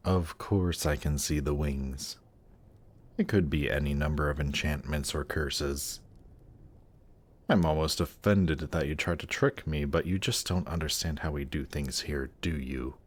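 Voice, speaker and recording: A man's voice: very deep voice, measured pace, long pauses. A man playing a suave, haughty demon prince in a fantasy audio drama, speaking in a polished, courtly and condescending manner. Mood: coolly offended.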